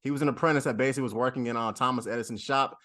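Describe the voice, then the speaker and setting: Fast-talking casual man host. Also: medium pitch, fairly even intonation, bright, forceful tone. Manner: casual, fast, many fillers and repeats. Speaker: podcast host. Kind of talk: casual interview chat.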